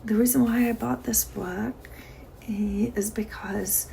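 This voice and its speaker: Soft-spoken woman with vocal fry. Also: mid-range voice, fairly even intonation, takes it slowly, slight background noise. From Iran. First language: Persian. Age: 40s. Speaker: vlogger. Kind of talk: casual diary chat to camera.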